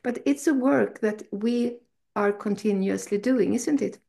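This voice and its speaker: Casting: woman host, gravelly edge, eager and gushing. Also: medium pitch, measured pace, mellow. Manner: enthusiastic, effusive. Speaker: festival host interviewer. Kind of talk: casual interview.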